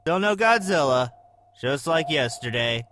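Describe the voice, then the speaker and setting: A man's voice: fairly high pitch, lively intonation, measured pace, background noise. A man playing a jaded, skeptical soldier on monster watch, dry and dismissive in comic dialogue.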